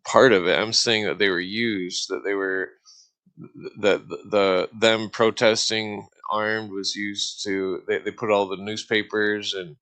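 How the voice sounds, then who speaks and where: Man, slightly low pitch, sharp bright tone, rambling casual talk, halting and stammering. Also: level tone. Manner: halting, stammers over words.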